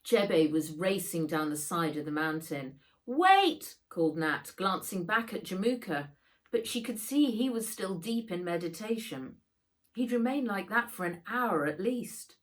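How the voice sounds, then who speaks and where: A woman reading a children's adventure book aloud in expressive narration, as an author reading her own work. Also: fairly low pitch, unhurried.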